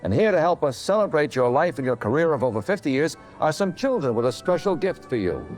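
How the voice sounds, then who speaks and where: A man's voice: a little high, big pitch swings, quick pace, soft background music. A man hosting a tribute show, presenting a scripted television address.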